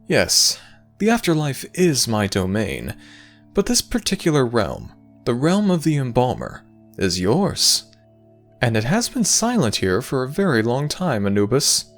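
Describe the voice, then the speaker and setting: A man playing a playful, teasing god of the afterlife in a fantasy audio roleplay, speaking in a sly, measured, theatrical way. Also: medium pitch. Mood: solemn, measured. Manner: theatrical, sly, measured storytelling with dramatic pauses.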